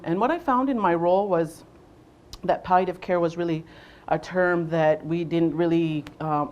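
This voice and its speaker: A young adult woman from Southwestern Ontario leading a workshop, speaking loudly to a room without a microphone and often pausing on "um" and "uh". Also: low voice, lo-fi sound. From Southwestern Ontario, Canada. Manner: loud voice, unamplified, frequent ums and uhs. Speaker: workshop facilitator, nurse navigator. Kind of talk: presentation to a workshop audience.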